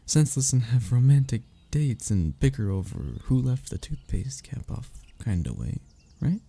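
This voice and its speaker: A man acting a lovesick, anxious young man in an intimate romantic audio roleplay, with a halting, emotional delivery and breathy murmurs. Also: medium pitch, very animated, dark tone. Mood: relieved, playful, smitten. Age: young adult. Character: lovesick, anxious young man confessing to childhood best friend.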